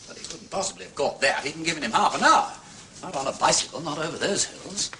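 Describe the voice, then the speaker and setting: An actor playing a witty, breezy aristocratic amateur detective with an upper-class English accent, urbane and playful, in a period detective drama. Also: male voice, on the high side, animated, fast, pushed and bright, a little hiss or hum. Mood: inquisitive.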